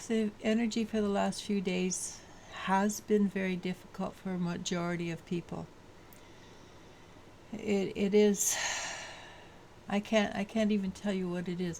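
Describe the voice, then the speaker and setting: Low-voiced lady doing a daily tarot reading, bit of fry, stops and restarts a lot, faint hiss behind. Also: little pitch movement, a little mellow. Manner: halting, many restarts and fillers. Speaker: tarot reader.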